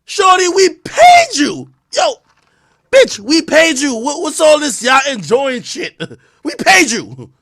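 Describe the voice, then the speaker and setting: A man streaming a live reaction, talking in an animated, profane, loud way with bursts of laughter. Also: very high voice, slow.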